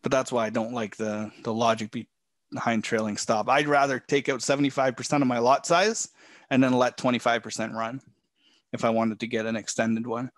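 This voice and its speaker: Man, hoarse, throat clearing, relaxed filler-heavy talk, dry home office. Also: medium pitch, a little fast, no echo. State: losing his voice. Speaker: trading coach. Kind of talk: live trading session, casual teaching.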